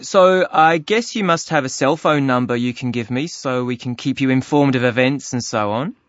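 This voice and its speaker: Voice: mid-range voice, moderate pace, dry recording, lightly acted. An actor as a chatty club clerk. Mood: friendly. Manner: friendly, chatty, with ums and small laughs. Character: friendly office clerk taking a new member's details. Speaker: club receptionist. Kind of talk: scripted listening-test dialogue. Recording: dry, slightly dull studio recording.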